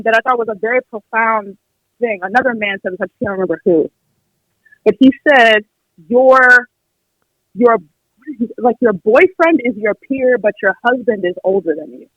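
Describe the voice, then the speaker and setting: A woman's voice: fairly high pitch, animated, normal speed, a bit bright, dry recording, muffled recording. A young American woman calling in to a podcast, arguing her opinion conversationally and emphatically, often acting out what others would say. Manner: emphatic, acts out imagined quotes. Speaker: caller on a podcast.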